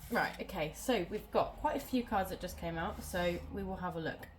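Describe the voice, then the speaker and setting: A woman's voice: on the low side, normal speed, a little hiss or hum. A woman doing a casual tarot reading at home, sounding nasal from a cold and rambling with many ums. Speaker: tarot reader.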